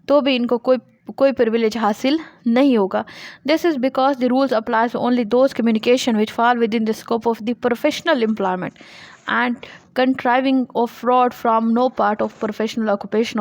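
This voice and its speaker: Higher-pitched Pakistani woman lecturing. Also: a little mellow, dry recording. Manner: didactic, repeats points and poses questions to explain them. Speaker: law lecturer. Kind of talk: explanatory lecture, reading legal text aloud and explaining it.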